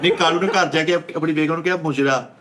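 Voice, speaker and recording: A man's voice: a little high, steady tone, quick pace, a crowd in the background. A man with a Pakistani Punjabi accent joking casually as a panelist on a comedy show. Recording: clearly noisy recording with clicks.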